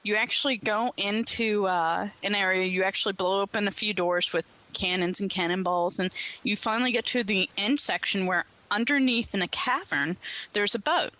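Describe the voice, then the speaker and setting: A woman's voice: mid-range voice, moderate pace, bright, forceful tone, dry recording. A woman chatting casually on a panel and explaining things conversationally. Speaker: podcast panelist.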